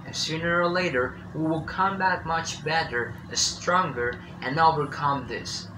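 A man's voice: fairly high pitch, a little slow. A young man from Colombia giving a determined, rallying recorded message indoors. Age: young adult. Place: indoor room with blinds. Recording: echoey room with noise.

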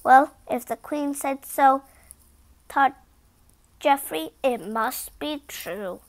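A young girl with a high voice reads a storybook aloud. She goes slowly and carefully, leaning on words for expression. Now and then she stumbles like a new reader. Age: child. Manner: careful beginner reading with stumbles and expressive emphasis. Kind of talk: storybook read-aloud with spontaneous asides.

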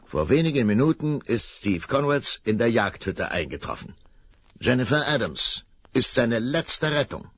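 A man narrating a radio play in calm, measured tones. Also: mid-range voice, a little hiss or hum. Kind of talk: scripted narration.

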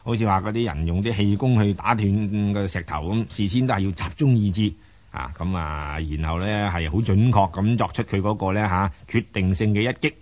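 A man's voice: fairly low pitch, normal speed, darkish tone. A man with a Hong Kong Cantonese accent giving conversational radio commentary, rambling and colloquial, repeating words as he goes. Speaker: radio storytelling host and commentator.

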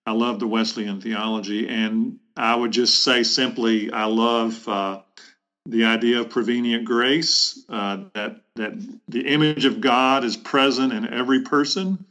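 A middle-aged man, a bishop answering interview questions in a reflective, halting way with measured phrasing. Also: mid-range voice, steady tone, a bit bright. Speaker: bishop, podcast guest. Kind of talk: thoughtful interview conversation.

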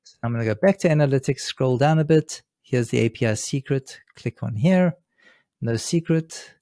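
A man presenting a software tutorial in a casual, unscripted way, explaining step by step as he works at his computer. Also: medium pitch, moderate pace, dry recording, studio quality. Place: indoors, seated at a computer.

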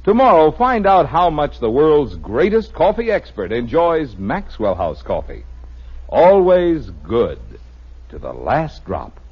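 A man announcing in the style of a 1950s radio advertising pitch, with a husky voice. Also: huge pitch swings, slow pace, a little hiss or hum. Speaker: commercial announcer. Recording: muffled vintage radio recording.

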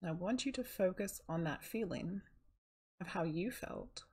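A woman leading a guided meditation in a calm, soothing, unhurried manner, with a soft, breathy voice. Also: fairly low pitch.

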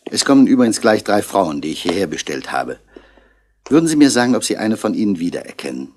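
Composed male police commissioner, lowish voice, lively intonation, terse courteous questioning, vintage radio drama. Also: fast. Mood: courteous, probing. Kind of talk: 1960s German radio play acting.

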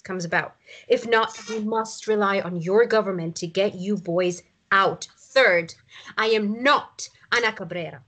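A woman's voice: medium pitch, a bit bright, close to an everyday voice. An actress playing a stern woman captive who takes command like a military leader, giving firm, controlled orders in a drama read-through. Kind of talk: script read-through acting.

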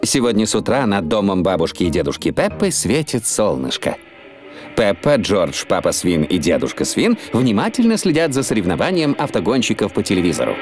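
A man's voice: fairly low pitch, big pitch swings, brisk. A man narrating a children's cartoon as a scripted storyteller. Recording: music behind narration.

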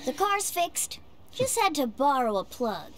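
A woman voicing a small, grumbling, proud talking cat creature in an animated game cutscene. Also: high-pitched, measured pace, heavy background noise.

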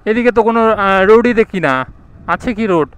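A man's voice: high voice, lively intonation, moderate pace, a bit bright, clear, resonant tone, rough recording. A young man, narrating a casual motovlog while riding outdoors, thinking aloud and asking people for directions. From India. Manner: chatty, thinks aloud, asks passersby for directions. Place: outdoors on village roads, riding a motorbike. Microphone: action camera mic.

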